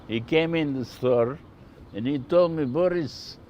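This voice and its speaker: A man's voice: a little high, a little slow, darkish tone, muffled recording, a little hiss or hum. An older man from Bulgaria with a Bulgarian accent, telling anecdotes casually and plainly as a shopkeeper being interviewed. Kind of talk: casual oral storytelling.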